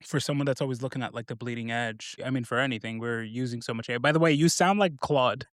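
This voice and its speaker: Chatty man with a smooth, dry voice. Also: medium pitch, fast talker, fairly bright tone, no room sound. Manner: rambling, filler-heavy questions with frequent laughs. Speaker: podcast host. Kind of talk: casual interview chat.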